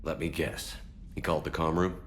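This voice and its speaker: An actor playing a stoic, determined military commander in a video game, restrained and terse. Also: male voice, very deep voice, level tone, brisk, a little hiss or hum. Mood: resolute. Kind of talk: video game cutscene acting.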